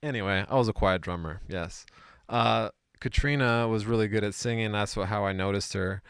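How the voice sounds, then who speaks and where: A soft-spoken male drummer from Hawaii and Washington State, answering interview questions hesitantly and often saying "like". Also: lowish voice, moderate pace, clear, resonant tone, no room sound, studio quality. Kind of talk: casual interview chat.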